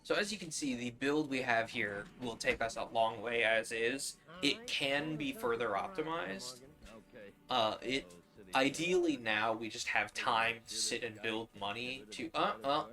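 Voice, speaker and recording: A man's voice: medium pitch, measured pace, sharp tone. A man streaming a game, thinking aloud and explaining his choices in casual commentary. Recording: music and radio drama behind.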